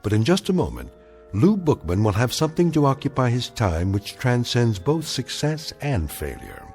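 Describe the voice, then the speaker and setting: An actor playing a grave, omniscient narrator of uncanny radio tales, speaking in clipped, portentous, measured phrases. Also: male voice, medium pitch, very animated, darkish tone. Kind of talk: dramatic scripted radio narration. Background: music.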